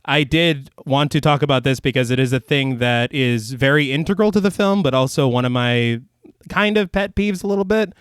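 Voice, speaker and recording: A man co-hosting a horror podcast in casual film talk, getting over the flu, relaxed and often swearing and laughing. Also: medium pitch, normal speed, smooth, no echo. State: recovering from flu. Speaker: podcast co-host.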